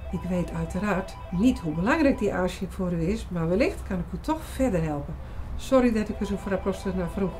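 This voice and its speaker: An actress playing a friendly, curious young receptionist in a radio play, chatty and forthcoming. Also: female voice, medium pitch, darkish tone, natural voice, rough recording. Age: young adult. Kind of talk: Dutch radio play acting.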